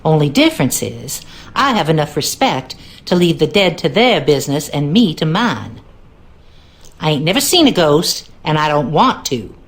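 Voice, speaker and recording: A woman's voice: sits low, very animated, moderate pace. An actress playing a wry, superstitious cleaning woman in a video game, with a Southern US accent and a knowing, ominous way of talking. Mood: wry, folksy. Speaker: game character, cleaning lady. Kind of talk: video game character dialogue.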